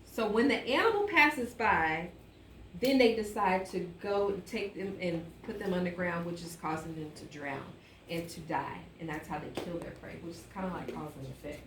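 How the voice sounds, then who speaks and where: A woman's voice: lowish voice, fairly bright tone. Woman teacher in an echoey, distant classroom recording. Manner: instructive, questioning, pauses to prompt children. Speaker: elementary school teacher. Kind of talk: interactive read-aloud to a class. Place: indoor classroom. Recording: echoey classroom, distant mic, faint noise.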